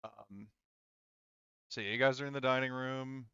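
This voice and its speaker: Male game master, conversational and halting, smooth voice on a slightly dull recording. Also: medium pitch, steady tone, bright, forceful tone, no echo. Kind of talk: narrating a tabletop roleplaying game, casual.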